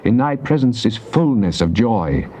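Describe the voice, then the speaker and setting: An actor playing a devout, earnest psalmist, reciting scripture in a solemn, measured, declamatory theatrical style. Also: male voice, medium pitch, animated, dark tone, natural voice. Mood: joyful reverence. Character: devout psalmist, earnest man praying to God. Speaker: dramatized scripture reader. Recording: dull noisy cassette tape transfer.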